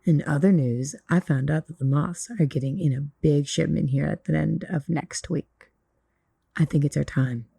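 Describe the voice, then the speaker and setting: A woman playing a reckless, vengeful, hot-headed younger sister in an audio drama, with a husky, creaky voice. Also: low-pitched, fairly even intonation, normal speed, dark tone, dry recording, studio quality, full character voice. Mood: determined, angry.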